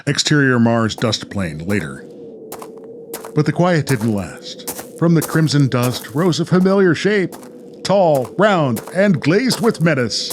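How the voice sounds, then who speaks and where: A man's voice: a little high, big pitch swings, takes it slowly, slight background noise. A man narrating a scripted comedy radio play, reading scene headings and story as narrator.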